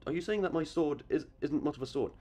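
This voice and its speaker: A young man doing rapid character impressions in a theatrical manner. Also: a little high, dark tone. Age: young adult. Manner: theatrical, shifting between character voices. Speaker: amateur voice impressionist. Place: small indoor room.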